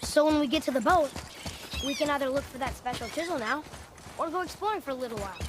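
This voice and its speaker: A man's voice: very high-pitched, fast talker, noisy background. An actor playing a curious, eager young boy adventurer in a video game, asking things in a forthright way. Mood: casual. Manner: inquisitive, forthright.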